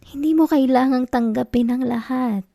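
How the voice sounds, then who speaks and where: A woman playing a needy, affectionate wife in an intimate ASMR roleplay, with a Filipino accent and a soft, coaxing, pouty delivery. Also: high voice, moderate pace, vocal fry. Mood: scolding, concerned. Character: needy, affectionate wife, sulky yet tender and teasing.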